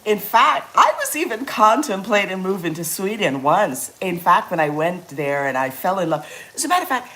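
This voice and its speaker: A woman's voice: mid-range voice, sing-song, normal speed, hardly any pauses, fairly bright tone, roomy sound, low-quality recording. A woman, chatting casually with viewers as she cooks on a livestream, laughing easily. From Guyana. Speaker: livestream cooking host. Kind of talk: casual livestream cooking chat.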